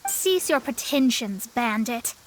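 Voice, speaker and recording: A woman's voice: fairly high pitch, animated, slow, slight background noise. A woman playing a proud, prickly young female astrologist in a video game, speaking in a lofty, formal manner. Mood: sharp, challenging. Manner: lofty, formal, self-important. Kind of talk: video game character voice acting.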